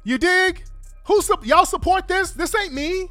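A high-pitched man with a congested, stuffed-up voice rants to his audience in blunt, profane bursts of exasperation. The sound is close and dry. Faint music plays underneath. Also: animated, a little slow, bright, forceful tone, no room sound. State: congested from a cold. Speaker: online commentator. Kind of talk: ranting commentary to viewers.

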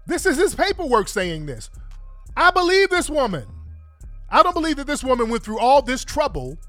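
Congested high-voiced man ranting. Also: sing-song, moderate pace, a bit bright, no echo, soft background music. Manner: blunt, profane, exasperated. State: congested from a cold. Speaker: online commentator. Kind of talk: ranting commentary to viewers.

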